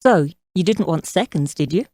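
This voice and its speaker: A woman's voice: lowish voice, expressive, normal speed, dark tone, dry recording, natural voice. A woman playing a flirtatious, scheming mother-in-law in her thirties in an audio drama, coy and self-assured. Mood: flirtatious, coaxing. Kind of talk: audio drama character acting.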